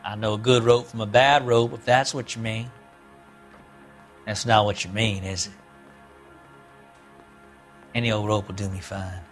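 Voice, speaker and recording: An actor playing a sly, unrepentant drifter and ex-convict in a video game, with a rural American accent and an evasive, folksy, drawling way of talking. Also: male voice, lowish voice, steady tone, measured pace, pauses often, soft background music, lightly acted. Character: sly unrepentant small ex-convict drifter suspect, farm boy. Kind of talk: video game interrogation acting.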